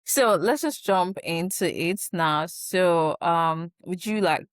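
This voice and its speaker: A woman's voice: lowish voice, slow pace, no echo, clean studio sound. A woman hosting a podcast, asking questions in a chatty way with lots of likes and laughter. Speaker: podcast host. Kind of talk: casual interview chat.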